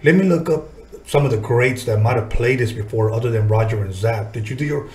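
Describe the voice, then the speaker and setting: A man's voice: mid-range voice, brisk, a little mellow. Informal male podcast host, echoey room. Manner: informal, slangy, swears casually. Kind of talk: casual interview chat. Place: small podcast studio, indoors. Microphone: tabletop podcast microphone. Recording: roomy studio echo.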